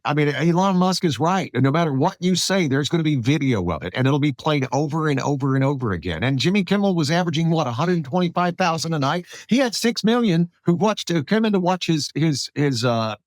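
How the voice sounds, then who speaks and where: American podcast guy, emphatic political chat, pitch all over the place, dry sound. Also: a little fast, dry recording. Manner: animated, emphatic, acts out quotes. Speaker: podcast host. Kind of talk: conversational political talk.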